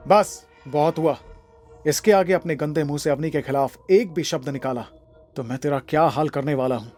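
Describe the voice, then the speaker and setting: Male actor as angry young protector in audio drama: higher-set voice, firm threats, menacing, light music bed. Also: normal speed, a little mellow, lightly acted. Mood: menacing anger. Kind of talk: Hindi audio drama acting.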